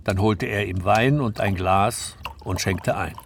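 An actor as the calm, measured third-person narrator of a crime story, reading scripted narration in a radio play. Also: male voice, fairly low pitch, gravelly, a little hiss or hum.

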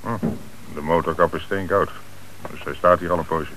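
A very low male voice as a police commissioner in a radio play. He is calm, authoritative and businesslike, on an old recording with faint noise. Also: normal speed, low-quality recording. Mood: calm authority. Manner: businesslike questioning and orders.

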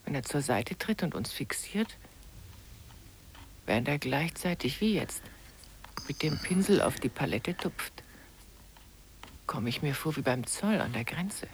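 Slow-spoken watchful, reflective woman sitter. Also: very deep voice, lively intonation, slight background noise, exaggerated voice. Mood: curious, reflective. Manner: measured, reflective. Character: curious, watchful sitter observing a painter. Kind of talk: radio play monologue.

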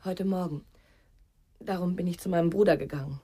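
A frank, probing woman in a radio crime drama. Her voice is husky and closely recorded with a dry sound, and she questions in a sceptical, pointed, reproachful way. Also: on the low side, a little fast, warm tone, dry recording. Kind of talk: 1990s German radio crime drama acting.

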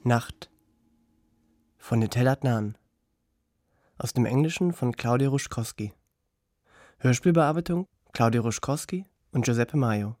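A man's voice: medium pitch, measured pace, pauses often, darkish tone, no echo, studio quality. A man announcing the title and credits of a radio drama in a scripted style.

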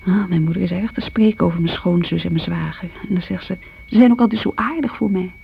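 Shy, creaky-voiced young mother. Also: fairly low pitch, fast, a little hiss or hum. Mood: chatty, puzzled. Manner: chatty, self-excusing. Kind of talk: radio play monologue, casual confiding. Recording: muffled old radio recording.

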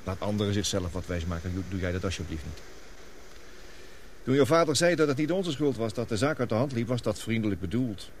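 An actor playing a gloomy, guilt-ridden scientist in a radio play, speaking gravely and deliberately. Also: male voice, fairly low pitch, expressive, a little hiss or hum, lightly acted. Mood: bitter regret. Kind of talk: radio drama acting.

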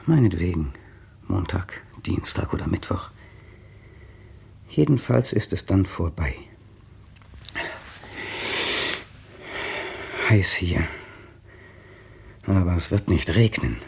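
An actor playing a brooding, cynical poet in a radio drama monologue, talking to himself haltingly and slipping into declamation. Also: male voice, low voice, animated, vocal fry, darkish tone, slightly rough, exaggerated voice. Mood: muttering, resigned. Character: brooding, cynical man, a poet, waiting restlessly at home. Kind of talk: 1960 German radio drama interior monologue.

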